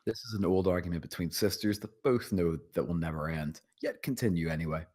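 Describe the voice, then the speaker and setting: Deep-voiced man narrating a script. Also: fairly quick, darkish tone, slightly rough. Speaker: stage-direction narrator. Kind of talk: scripted narration at a screenplay table read.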